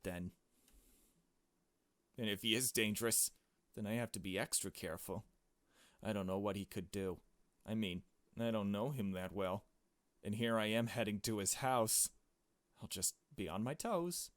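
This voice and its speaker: A man reading visual novel narration as an anxious, conscientious young woman, measured and introspective. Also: on the low side, no echo, close to an everyday voice.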